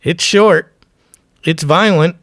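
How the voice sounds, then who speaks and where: A man's voice: fairly high pitch, takes it slowly, a bit bright, no echo, clean studio sound. A man narrating a documentary from a script in short, emphatic, profane phrases.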